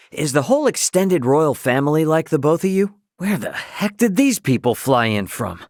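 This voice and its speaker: A man's voice: mid-range voice, no room sound, studio quality, natural voice. A man playing an easygoing, cocky young adventurer hero in a video game, speaking casually and wryly. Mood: concerned, urgent. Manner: casual, colloquial, wry. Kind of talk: acted video game dialogue.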